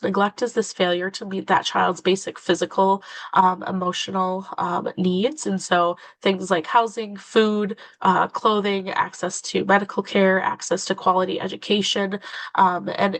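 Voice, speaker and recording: A woman presenting a webinar as a prevention education coordinator, slightly nasal from a cold, pausing often with many fillers. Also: medium pitch, flat delivery, fairly bright tone, no echo.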